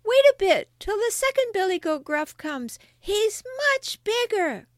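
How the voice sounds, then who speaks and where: A woman's voice: very high voice, very animated, a little slow, dry recording, studio quality, pitched above the performer's own voice, full character voice. A woman voicing the tiniest young billy goat, timid and pleading, with a small, thin voice in a storybook tale.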